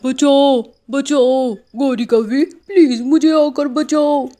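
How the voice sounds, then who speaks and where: A woman's voice: sits high, fairly even intonation, takes it slowly, mellow, pitched above the performer's own voice. A woman voicing a grumbling, gullible crow husband in a cartoon story. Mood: pleading.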